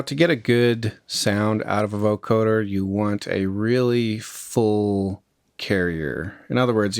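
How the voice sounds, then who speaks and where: A man's voice: lowish voice, no room sound, studio quality. A man presenting a music production tutorial in a casual, conversational, step-by-step way. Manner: informal, conversational instruction.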